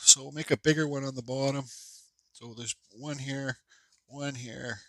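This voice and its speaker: A man's voice: medium pitch, steady tone, normal speed, pushed and bright, no room sound. A congested man casually narrating a carving tutorial at his workshop bench, rambling with fillers and restarts. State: stuffed up, congested. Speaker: woodcarving instructor.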